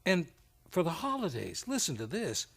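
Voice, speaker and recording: A man's voice: on the high side, wildly swooping pitch, normal speed, vocal fry, a bit bright, breathy edge. A man performing a scripted two-person radio advertisement for a theater. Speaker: radio promo announcer.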